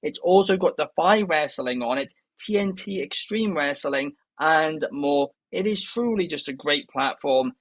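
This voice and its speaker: A man's voice: on the high side, lively intonation, a bit bright, no echo. Chatty Welsh man, dry close sound. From Wales. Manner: chatty, enthusiastic, quick asides to viewers. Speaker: podcast host. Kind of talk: casual news chat.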